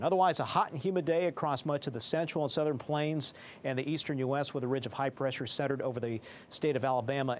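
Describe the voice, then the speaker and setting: A man's voice: a little high, a little hiss or hum. A man presenting a television weather forecast in a formal, explanatory broadcast manner. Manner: formal, explanatory broadcast delivery with technical phrasing. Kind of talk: live weather forecast commentary.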